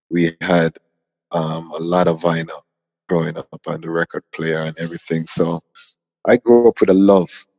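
A man in his forties, born in Jamaica and raised in Canada, telling his story as an interview guest in an unhurried, reflective way. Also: deep voice, darkish tone, no room sound, long reverb tail, lo-fi sound. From Canada (Toronto), born in Jamaica. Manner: unhurried, reflective, frequent ums. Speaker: guest, music conference founder.

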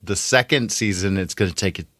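A man with a low-ish voice and a dry, close recording. He co-hosts a podcast, bantering casually with a wry, sarcastic edge. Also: brisk, fairly bright tone, no echo. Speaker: podcast co-host.

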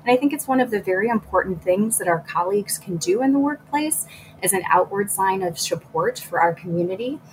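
Woman, panel speaker, mid-pitched voice, clear measured explanation, faint background noise. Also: lively intonation, rough recording. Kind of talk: prepared talk on a video call panel.

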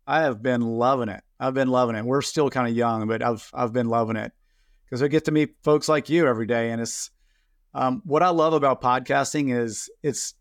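A man's voice: mid-range voice, no room sound, studio quality. A man hosting a podcast in a casual, conversational interview style. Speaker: podcast host.

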